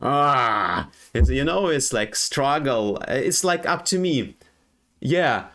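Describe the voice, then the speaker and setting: A young Ukrainian man with a Ukrainian accent, speaking English as a second language in a halting personal monologue full of fillers and restarts. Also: mid-range voice, animated, a bit bright. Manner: halting, many fillers and restarts, non-native grammar. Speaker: streamer.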